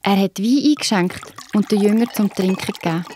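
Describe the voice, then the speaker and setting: A woman's voice: mid-range voice, fairly quick, warm tone, a little hiss or hum. A woman with a Swiss German accent narrating a scripted Bible story for children.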